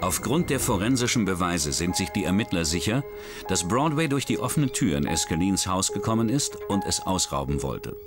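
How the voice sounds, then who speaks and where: A man narrating a true crime documentary in a measured, serious scripted voice. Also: sits low, very animated. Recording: music bed under voice.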